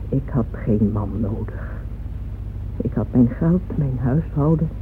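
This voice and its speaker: An actress playing a proud, yearning, wealthy middle-aged mother who narrates intimately and fervently in a radio drama. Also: very deep voice. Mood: bitter, self-reproaching. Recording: muffled phone-like old radio recording, faint noise.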